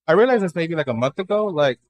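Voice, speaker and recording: A man's voice: a little high, lively intonation. A man in his twenties from Dallas, a new stand-up comic, chatting casually as a podcast guest with lots of fillers and swearing. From Dallas, Texas. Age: 20s. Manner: rapid, filler-heavy, profane. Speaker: guest comedian. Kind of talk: casual interview chat.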